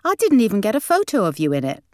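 Lady doing an outraged mum, shrill n dramatic, scolding, really animated, dry audiobook sound. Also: quick pace, no room sound. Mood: distraught. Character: outraged, shrill middle-aged English mother of the bride.

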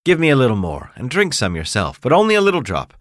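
A man's voice: medium pitch, wildly swooping pitch, dry recording, studio quality, pitched above the performer's own voice. A man doing a weary, tender washerwoman mother. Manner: fond and pleading, drawn-out endings.

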